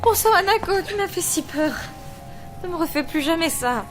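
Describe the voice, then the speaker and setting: A woman's voice: extremely high pitch, animated, moderate pace. A woman playing an anxious mother in an audio drama, frantic and scolding. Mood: shaken, scolding. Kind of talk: French audio drama acting. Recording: music behind the voice.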